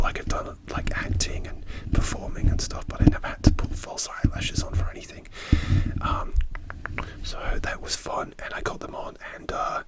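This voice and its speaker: Man whispering softly into a close mic. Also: low-quality recording. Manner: soft, rambling and hesitant, with many restarts. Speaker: ASMR creator. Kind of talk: whispered ASMR storytelling.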